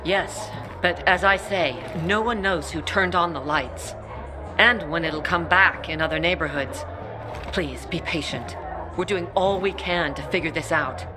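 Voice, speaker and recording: A woman's voice: on the low side, a little slow, lightly acted. An actress playing a patient, official-sounding female peacekeeper in a video game cutscene. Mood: placating. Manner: official, placating. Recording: music behind.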